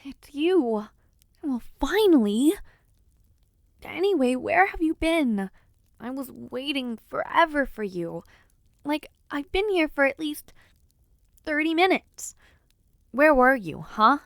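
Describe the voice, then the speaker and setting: A woman playing a spirited, playfully impatient young noblewoman who hopes to be a knight, in an expressive audio roleplay spoken straight to the listener. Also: sits high, slow, pauses often, no echo, clean studio sound, exaggerated voice. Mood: huffy, scolding. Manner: expressive, sighing, genteel wording with teasing asides. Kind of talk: audio roleplay acting, addressing the listener directly.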